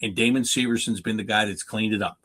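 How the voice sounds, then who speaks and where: A man's voice: fairly low pitch, fairly even intonation, fast talker, fairly bright tone. Emphatic North American man talking sports. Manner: emphatic, asks and answers own questions. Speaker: hockey play-by-play announcer as guest analyst. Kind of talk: unscripted sports talk, analysis.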